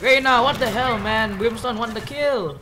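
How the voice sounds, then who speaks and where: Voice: medium pitch, normal speed. A young adult gamer trash-talking teammates in casual, profane banter while playing an online shooter. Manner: blunt, profane, jokey. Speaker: gamer recording gameplay. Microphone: gaming microphone on voice chat. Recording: noisy voice chat with clicks.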